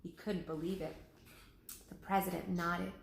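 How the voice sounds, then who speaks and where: A woman's voice: on the low side, measured pace, gravelly, echoey room, slight background noise. Woman reading a children's story aloud. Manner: expressive read-aloud, lively character voices.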